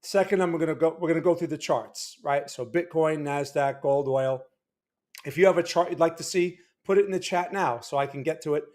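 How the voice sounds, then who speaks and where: A man's voice: on the high side, fairly quick, darkish tone. A man hosting a market analysis livestream in a loose, chatty way, greeting viewers and restarting his sentences. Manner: chatty, reads out chat names, loose restarts. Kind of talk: casual livestream talk. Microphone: desk microphone.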